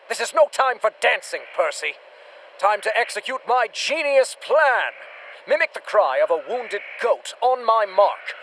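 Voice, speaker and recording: A man's voice: high-pitched, a bit bright, a little hiss or hum, rough recording. A man playing a boastful, pompous big-game hunter in a radio play. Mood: scheming. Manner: pompous, theatrical, self-praising.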